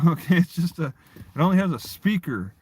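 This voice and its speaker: A man's voice: on the high side, animated, darkish tone, slightly rough, dry recording. A man chatting casually on a livestream, rambling and often restarting his phrases. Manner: halting, repeats and restarts phrases. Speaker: live streamer.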